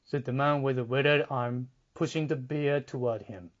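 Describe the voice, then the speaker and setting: A man reading literary fiction as an audiobook narrator, in a measured, deliberate, dramatic style. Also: mid-range voice, steady tone, highs cut off. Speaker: audiobook reader.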